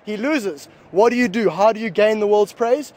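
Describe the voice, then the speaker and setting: A man's voice: high voice, normal speed, a little mellow. A man from South Africa, interviewed on a city street, telling a story animatedly and mocking others' voices. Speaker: passer-by interviewee.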